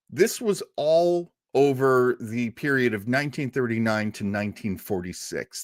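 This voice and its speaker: A man's voice: medium pitch, fairly bright tone, no room sound, clean studio sound. A man hosting an informal, unscripted show on film history, rambling along as an enthusiastic storyteller. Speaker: host of an old radio and film show.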